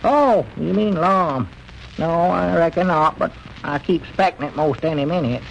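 A jittery creaky storekeeper. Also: male voice, fairly high pitch, animated, normal speed, slight background noise, low-quality recording. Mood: fretful. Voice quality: country dialect. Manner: nervous, stammering, fretful. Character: jittery middle-aged small-town storekeeper. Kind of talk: 1930s radio comedy acting.

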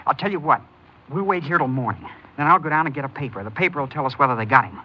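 An actor playing a cocky, jittery young robber and addict in an old crime radio drama, with an American accent and a restless, insistent delivery. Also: male voice, mid-range voice, animated, quick pace, slightly rough, highs cut off. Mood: excited, hopeful.

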